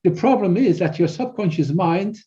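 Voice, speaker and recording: Male coach as interview guest, middle-aged, Belgian accent, slightly high, dull band-limited sound, halting explanatory delivery. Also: expressive, dark tone, slight background noise. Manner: halting, repeats words.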